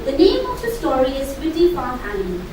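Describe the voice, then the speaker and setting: An Indian-accented woman tells a story to children at a school show. Her voice is high and comes from some way off the mic. The room is very echoey, with clear noise around her. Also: huge pitch swings, brisk, darkish tone. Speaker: puppet show narrator and host. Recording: echoey noisy hall, distant mic.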